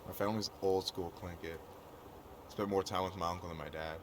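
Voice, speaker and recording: A man's voice: low voice, steady tone, fairly quick, natural voice. A man playing a friendly, joking young aspiring cook in casual video game dialogue. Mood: relaxed. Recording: noisy game capture.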